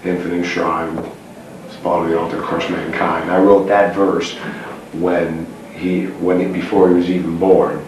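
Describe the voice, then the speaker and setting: Very deep, blunt, swearing man. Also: flat delivery, measured pace, a little mellow. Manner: blunt, profane, says he has a very low voice. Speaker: band frontman. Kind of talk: casual backstage interview. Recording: echoey room, taped from a distance, noisy.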